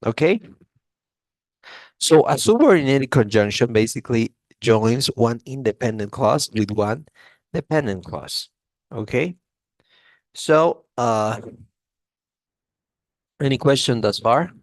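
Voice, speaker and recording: A man's voice: mid-range voice, animated, slow, long pauses, dry recording. A male English teacher giving a classroom grammar lesson, often checking in with "okay?" and restarting phrases.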